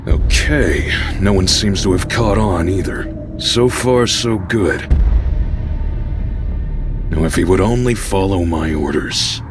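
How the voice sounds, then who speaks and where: An actor playing a composed but secretly scheming strike squad leader in a game, speaking with measured authority. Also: male voice, sits low. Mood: secretly calculating. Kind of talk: video game cutscene acting. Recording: loud music under dialogue.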